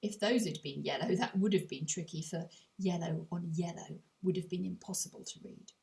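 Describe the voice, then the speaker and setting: A woman reading a whimsical children's story aloud in an expressive storytelling manner, with dramatic pauses. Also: fairly low pitch, creaky. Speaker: author reading their own audiobook.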